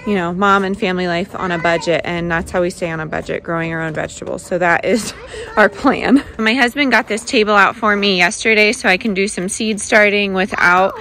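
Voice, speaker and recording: A woman's voice: medium pitch, a little fast, bright, forceful tone. A young woman vlogging casually to a handheld phone, chatty and upbeat, with filler words. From United States. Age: young adult. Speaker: family vlogger. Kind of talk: casual vlog talk to camera. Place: indoors room, then snowy backyard outdoors. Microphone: phone held at arm's length.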